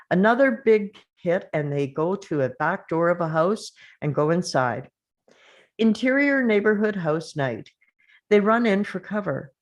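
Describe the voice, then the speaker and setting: A woman narrating the action lines of a screenplay at a table read, steady and measured. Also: lowish voice.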